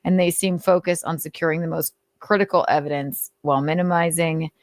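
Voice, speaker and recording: A woman's voice: sits low, steady tone, fairly quick, dry recording. A woman host giving commentary while reading aloud, sardonic and exasperated, with casual swearing. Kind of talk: commentary mixed with dramatic reading.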